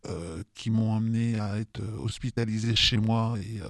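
A man's voice: on the low side, fairly even intonation, normal speed, no echo, studio quality. A man in his fifties giving a personal testimony in spontaneous, hesitant speech, often repeating words as he goes. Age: 50s. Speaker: testimony guest with lived experience of depression.

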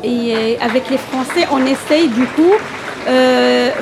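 A woman's voice: sits high, unhurried, vocal fry, a crowd in the background, noisy background. A middle-aged woman from Morocco, an Arabic speaker, answering interview questions hesitantly with many fillers. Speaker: language teacher guest.

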